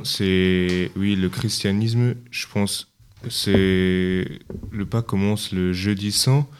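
Young german guy on a radio panel, pretty deep clean voice, second language so a bit halting. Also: a little mellow, clean tone. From Dresden, Germany. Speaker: radio panelist, European volunteer. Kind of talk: radio discussion.

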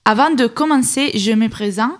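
A young woman from Turin with an Italian accent hosts a radio show as a volunteer, speaking French as a second language in a slightly halting way. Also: fairly high pitch. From Turin, Italy. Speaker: radio host, European volunteer.

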